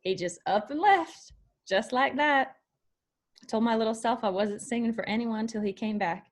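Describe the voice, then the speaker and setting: A woman reading a wounded, sharp-tongued young country singer with folksy Southern phrasing in a screenplay table read. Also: fairly high pitch, normal speed, lightly acted. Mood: wistful, bitter.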